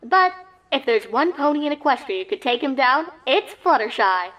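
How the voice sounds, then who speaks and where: A woman voicing an excitable pony sportscaster with punchy, straight-faced delivery, in a parody of live championship commentary. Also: high-pitched, moderate pace, voice pushed up, rough recording. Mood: keen, announcing.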